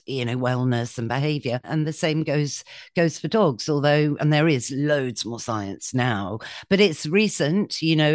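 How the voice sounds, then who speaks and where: A woman's voice: extremely low pitch, no echo, clean studio sound. A woman hosting a podcast in a chatty, casual way, often saying "you know" and laughing lightly. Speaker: podcast host.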